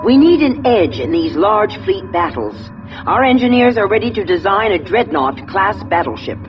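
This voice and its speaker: An actress playing a fleet command officer in a space game, giving clipped, authoritative mission orders with composure. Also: female voice, medium pitch, big pitch swings, moderate pace, muffled recording, over music, lightly acted. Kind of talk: video game mission announcements.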